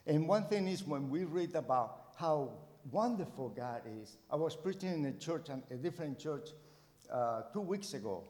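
A man's voice: on the high side, dark tone. A man with a Colombian accent, a native Spanish speaker, preaching emphatically as a missionary to a church and repeating phrases for effect. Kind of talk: sermon to a church congregation. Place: church hall with long reverb. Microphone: microphone. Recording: reverberant hall.

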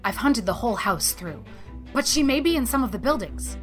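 A woman voicing a stern older woman who speaks briskly and sharply. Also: a little high, animated, faint music behind, close to an everyday voice. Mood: worried.

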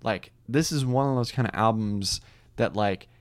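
A man's voice: on the low side, moderate pace, no echo. A man co-hosting a podcast, reviewing an album in casual chat and repeating words for emphasis.

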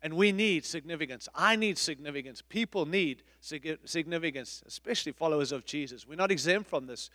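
High-voiced middle-aged man preaching. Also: expressive, a little fast, few pauses, in a large hall. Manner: emphatic, repetitive preaching with swings in loudness. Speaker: pastor. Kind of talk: sermon. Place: church.

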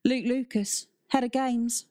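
A cool schoolboy, as a woman narrator plays him in a children's story. He talks casually and offhandedly, in a raised voice pitch, as if trouble hardly matters. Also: fairly even intonation, measured pace, dark tone, lightly acted. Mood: assured.

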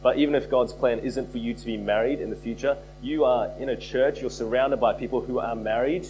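A man preaching a sermon as pastor, hoarse from a cold, earnest and repeating key phrases for emphasis. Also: medium pitch, steady tone, brisk, darkish tone, soft background music, rough recording. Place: church.

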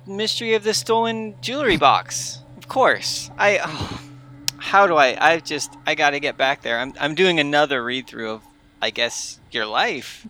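A man's voice: fairly high pitch, expressive, normal speed, pushed and bright, slight background noise. A man playing a tabletop game, improvising in character in a flustered, stammering way.

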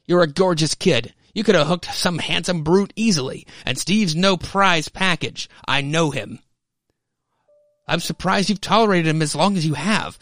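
A man's voice: fairly high pitch, moderate pace, fairly bright tone, no echo. A man playing a cynical, scheming younger brother in a radio-play melodrama, pushy and insinuating.

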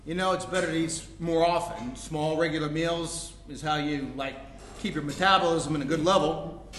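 Actor as a brash, taunting jock brother, high-pitched. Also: male voice, normal speed, a bit bright, a little hiss or hum, close to an everyday voice. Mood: mocking. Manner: slangy, mocking, jock-like delivery. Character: brash, taunting, athletic man of thirty-two, needling younger brother. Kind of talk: staged reading of a comedic play. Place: indoor room before a live audience. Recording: roomy live stage recording.

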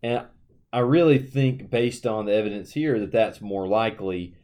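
A man's voice: lowish voice, moderate pace, a little mellow. A man co-hosting a casual Bible discussion, talking earnestly and conversationally with plenty of "you know"s. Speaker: Bible study co-host.

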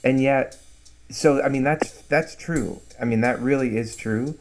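A man's voice: medium pitch, a little slow, faint music behind. A man hosting an interview, musing thoughtfully and philosophically. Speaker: interviewer host. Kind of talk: conversational interview.

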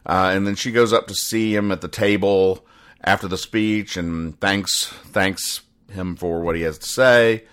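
A man with a deep, low-pitched voice hosts a comedy commentary show. He speaks in a deadpan, pedantic manner, haltingly spelling out every point in needless detail. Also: clean tone. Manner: deadpan, pedantic, over-explaining. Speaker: podcast host.

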